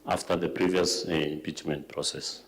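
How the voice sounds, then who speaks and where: A man's voice: a bass voice, flat delivery, moderate pace, husky. A Kenyan man testifying as a witness, giving short plain answers. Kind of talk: testimony under questioning.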